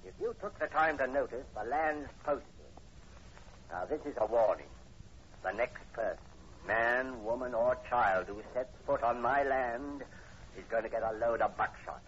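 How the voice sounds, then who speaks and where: A man's voice: mid-range voice, normal speed, natural voice. An actor playing an ill-tempered, reclusive landowner in his forties, curt and threatening, in 1940s radio horror style. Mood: hostile, threatening. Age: middle-aged. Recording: dull, noisy old radio broadcast recording.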